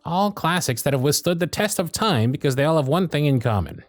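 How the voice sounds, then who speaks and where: A man playing a gruff but fond elf workshop supervisor in an ASMR roleplay, with a bossy, teasing, sardonic way of talking. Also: on the high side, lively intonation, a little fast, no room sound, natural voice. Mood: musing, admiring.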